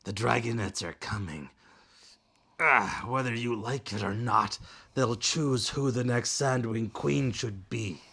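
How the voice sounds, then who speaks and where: A man's voice: mid-range voice, slow, fairly bright tone, breathy edge, heavily acted. A man voicing a wounded, defiant male ice dragon prisoner in a storybook, strained and impassioned. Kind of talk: dramatic storybook character reading.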